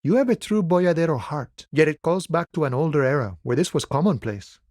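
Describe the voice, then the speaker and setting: An actor playing an easygoing, philosophical union dockworker in a video game, talking in a relaxed, wry and familiar way. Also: male voice, fairly high pitch, brisk, no room sound, clean studio sound, lightly acted. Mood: easygoing, friendly. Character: laid-back philosophical union dockworker, easygoing, wryly friendly man. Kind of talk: video game dialogue voice acting.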